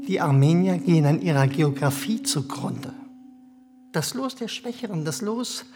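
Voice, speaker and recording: Cynical privy councillor, played by a man, condescending, pitch swooping up and down. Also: animated, normal speed, lightly acted. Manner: smooth, condescending, hesitant fillers. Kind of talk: radio play acting.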